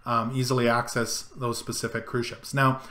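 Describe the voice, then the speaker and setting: A man's voice: mid-range voice, level tone, normal speed, bright, forceful tone, reverberant. A middle-aged man casually talking through a screen-share tutorial, guiding each click and zoom step by step. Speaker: travel vlogger. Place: home room, indoors.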